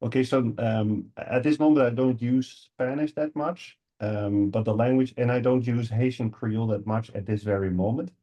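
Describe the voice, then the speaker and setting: A man in his forties from the Netherlands, a native Dutch speaker with a Dutch accent, talking as a podcast guest and often pausing with ums and uhs. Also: mid-range voice, warm tone. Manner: hesitant, many ums and uhs. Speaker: guest English teacher. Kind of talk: podcast interview.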